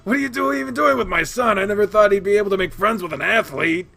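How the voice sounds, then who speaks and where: A man playing a childishly excitable middle-aged dad who gushes and stammers as a fan. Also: a little high, normal speed, creaky, husky, voice pushed up, full character voice. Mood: excited, flustered. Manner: breathless gushing, stammering with excitement.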